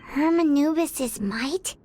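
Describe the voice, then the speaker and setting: Very high-pitched, concerned young girl. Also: takes it slowly, darkish tone, slight background noise. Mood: puzzled. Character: bright, worried young girl companion. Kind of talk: video game voice acting. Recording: music under the voice.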